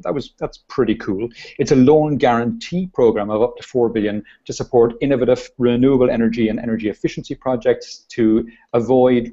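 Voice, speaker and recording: A male host speaks in a mellow, darker voice, easygoing and halting, often restarting his sentences. He is heard over a video call with muffled, lo-fi audio. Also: mid-range voice. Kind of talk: casual video chat.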